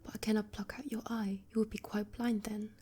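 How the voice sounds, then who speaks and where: A woman voicing a gentle, loyal male swallow in a soft whisper. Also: medium pitch, little pitch movement, fairly quick, husky. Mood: pleading.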